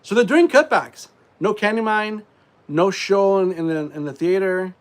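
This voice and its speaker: Congested male streamer with a slightly high voice, loose rambling delivery full of ums. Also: animated, normal speed. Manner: rambling, frequent fillers and throat clearing. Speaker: live streamer. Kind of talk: casual live stream chat.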